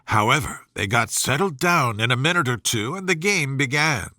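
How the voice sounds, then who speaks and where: A man narrating a children's bedtime story from a script as an expressive storyteller. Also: medium pitch, normal speed, no room sound, clean studio sound.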